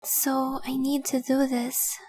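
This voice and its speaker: A woman's voice: high voice, monotone, a little mellow, rough recording. A woman playing a devoted, tenderly possessive young dragon girl in a romantic audio roleplay, speaking earnestly and intimately, sometimes dropping toward a whisper. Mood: solemn, confessional. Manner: earnest, intimate, deliberate, with breathy whispered phrases.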